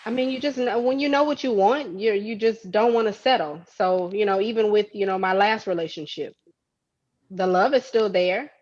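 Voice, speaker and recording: A woman in her forties, an interview guest talking candidly in an earnest, reflective way, sometimes starting with halting restarts. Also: medium pitch, a little mellow, muffled recording. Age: 40s. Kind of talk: candid conversation.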